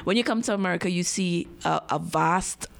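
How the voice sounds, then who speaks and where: A woman speaks in a lowish voice with some vocal fry. She explains her views thoughtfully and at a measured pace as a radio studio guest. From Trinidad. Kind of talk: casual interview talk.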